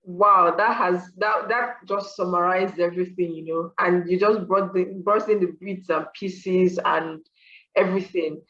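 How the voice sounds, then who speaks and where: A woman's voice: on the low side, steady tone, measured pace, fairly bright tone. A woman hosting an interview in a conversational way, asking long, responsive questions with repeated phrases. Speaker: interviewer, host. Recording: dull, echoey room sound.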